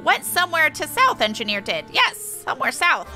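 Woman voicing a high, animated bird-man airship builder. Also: normal speed, faint music behind, heavily acted. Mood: concerned. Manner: inverted word order, declamatory, squawking.

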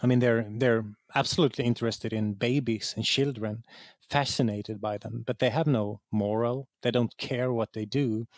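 A man's voice: medium pitch, fairly even intonation, normal speed, a little mellow, no echo, clean studio sound. A man with a Swedish accent explaining things conversationally as an interview guest, repeating words as he searches for phrasing. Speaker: interview guest, game writer.

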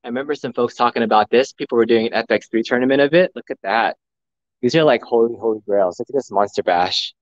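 A man livestreaming a walkthrough of a pinball showroom, excitedly talking to his viewers, recorded on a phone indoors. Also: medium pitch, quick pace, no echo, highs cut off. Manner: excited, enthusiastic, addressing viewers. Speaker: vlogger, live streamer. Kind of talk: live walkthrough commentary. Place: large indoor arcade showroom. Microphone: phone camera.

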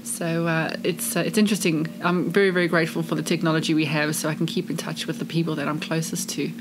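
A woman's voice: deep voice, flat delivery, fast talker, low-quality recording. A woman from South Africa with an English-speaking South African accent, a charity chief executive telling her story earnestly in an interview. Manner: warm, earnest, expansive storytelling. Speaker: charity CEO, guest. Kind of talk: casual interview chat.

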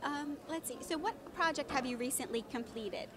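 Interviewer, woman in her thirties. Higher-pitched, supportive and casual on a handheld mic, busy voices in the background. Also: moderate pace, crowd noise. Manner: encouraging, informal. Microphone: handheld interview microphone.

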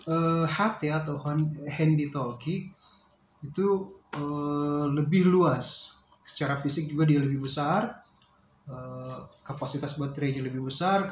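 A man's voice: on the high side, darkish tone. A man, explaining casually and hesitantly, as in an unboxing vlog. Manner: hesitant, pausing to search for words. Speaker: vlogger reviewing a product. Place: small bare-walled room indoors. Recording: roomy echo, faint background noise.

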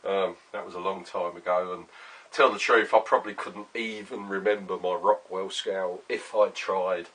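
Middle-aged man, husky, casual rambling vlogger, sweary, indoors at a camera. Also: deep voice, fairly even intonation, normal speed, fairly bright tone, low-quality recording. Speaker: vlogger, workshop owner. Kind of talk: casual chatty workshop vlog. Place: small cluttered workshop, indoors.

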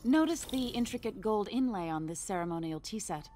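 An actress playing a polished, guarded woman art dealer in a video game, with a smooth, refined manner that turns curt when pressed. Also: mid-range voice, lively intonation, brisk, mellow, lightly acted. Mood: poised. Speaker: art auction house owner.

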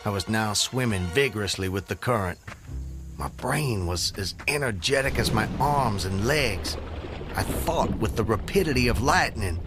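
An actor playing a proud, honor-bound Southern gentleman in a radio drama, with an American Southern accent and formal, florid speech. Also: on the low side, animated, normal speed, natural voice. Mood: intense, recounting. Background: music underscore.

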